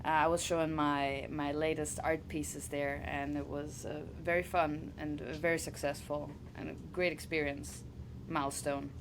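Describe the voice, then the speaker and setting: Guest: German-native woman, low-ish voice, casual, halting, laughs a lot, faint noise behind. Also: little pitch movement. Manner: laughing, halting, restarts often. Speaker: wrestler guest. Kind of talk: casual interview chat.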